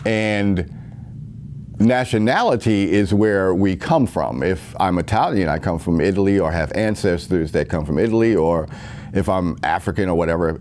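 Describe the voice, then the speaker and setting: A man's voice: on the low side, darkish tone, slight background noise. A man giving an earnest, rambling spoken monologue with many restarts, recorded at home.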